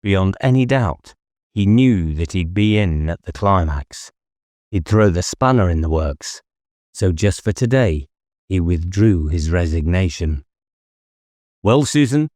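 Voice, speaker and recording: A man's voice: deep voice, a little slow, a little mellow, dry recording, clean studio sound. A man reading a novel aloud as an audiobook narrator, in a scripted dramatic style.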